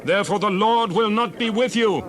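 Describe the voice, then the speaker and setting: A man's voice: sits high, moderate pace, a bit bright. An actor playing a stern prophet who warns his people urgently in a declamatory scripture drama. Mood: urgent warning. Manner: urgent, declamatory, archaic phrasing.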